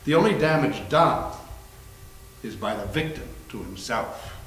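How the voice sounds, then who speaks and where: A man's voice: mid-range voice, lively intonation, unhurried, fairly bright tone. A man playing a genial, learned doctor with a wry, cautious manner in a readers theater performance. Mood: measured, wry. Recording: echoey room, distant mic, faint noise.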